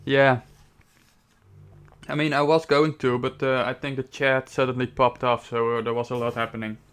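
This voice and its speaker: Chill young guy streaming, mid pitch, easygoing chat, laughs a lot. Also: level tone, normal speed, fairly bright tone, smooth. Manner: laid-back, laughs often. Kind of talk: casual game stream chat. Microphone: desktop mic.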